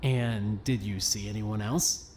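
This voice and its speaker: An actor playing a brisk, exasperated young investigator in an animated mystery game, pressing a partner in a matter-of-fact way. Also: medium pitch, a little hiss or hum, natural voice.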